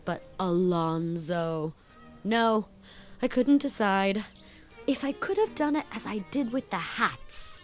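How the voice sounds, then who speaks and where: Woman voicing a flighty teen girl, all gush and drama, lowish voice. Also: darkish tone, lightly acted. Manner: gushing, chattering, playfully dramatic. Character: giddy, flighty 18-year-old girl, fond of fun. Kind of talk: audiobook dramatization.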